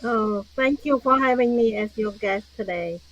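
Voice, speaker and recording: A woman's voice: a little high, moderate pace, lo-fi sound. An older woman from Cambodia with a Cambodian accent, answering conversationally as an interview guest. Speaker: podcast guest, author and speaker.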